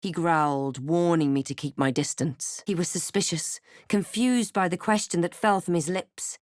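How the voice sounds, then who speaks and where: Actress as female fantasy game adventurer, lowish voice, wary and wry, measured dramatic delivery, close dry sound. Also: dry recording, natural voice. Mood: grave narration. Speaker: video game player-character voice actress. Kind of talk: scripted game voice lines and narration.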